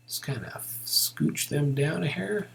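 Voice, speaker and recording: A man giving a casual step-by-step software tutorial, thinking aloud informally as he works. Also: fairly high pitch, animated, slow pace, vocal fry.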